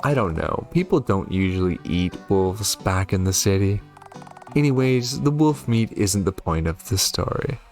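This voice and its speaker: Man playing a firm, slow-spoken witch mother. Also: low voice, animated, a little mellow, faint music behind. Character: protective, firm witch mother of a young son.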